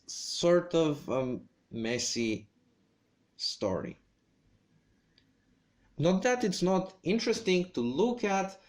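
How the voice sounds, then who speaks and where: A man talking through his opinions on a video game character in a hesitant, measured way, with lots of pauses and restarts. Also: a little high, darkish tone. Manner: hesitant, many fillers and restarts. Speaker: gaming video creator. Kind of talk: game commentary vlog.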